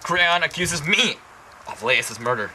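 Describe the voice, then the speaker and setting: Anguished Greek king for a puppet play, acted by a young man: bright, animated, stilted delivery, faint noise behind. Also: a little high, unhurried, exaggerated voice, poor audio. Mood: troubled. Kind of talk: amateur scripted puppet-show acting.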